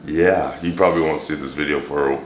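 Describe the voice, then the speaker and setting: Brief: middle-aged man, very low and a bit hoarse, rambling dry humor, echoey home kitchen with faint hiss. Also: steady tone, a little fast. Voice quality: deep, a little hoarse from a throat bug. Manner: joking, rambling, dry self-deprecating humor. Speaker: vlogger, home cook. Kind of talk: casual cooking vlog chat.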